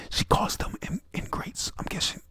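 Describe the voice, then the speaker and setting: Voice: mid-range voice, a bit bright, breathy edge, no room sound, clean studio sound. A speaker whispering a casual recap of a comic in the style of ASMR, hesitant and stumbling over names.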